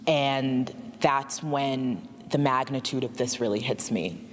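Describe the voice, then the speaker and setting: A woman's voice: extremely low pitch, takes it slowly, slight background noise. Woman film director in her thirties, from Nigeria and Alaska, earnest, reflective, reverberant hall sound. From born in Nigeria, raised in Alaska, USA. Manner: earnest, reflective, often laughing softly. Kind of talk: interview answers.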